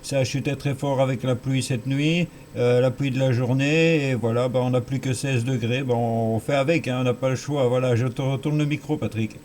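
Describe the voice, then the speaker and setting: A man's voice: mid-range voice, steady tone, moderate pace, hardly any pauses. A man talking casually as an amateur radio operator over two-way radio, matter-of-fact and repeating his phrases. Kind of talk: casual radio contact chat. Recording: slightly noisy radio transmission.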